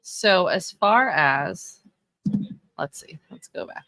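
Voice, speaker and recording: A woman's voice: on the low side, animated, slow, fairly bright tone, dry recording, rough recording. A woman in her thirties with a Texan accent, giving chatty, opinionated live-stream commentary and often correcting herself. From Texas. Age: 30s. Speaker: streamer reviewing wrestling fashion.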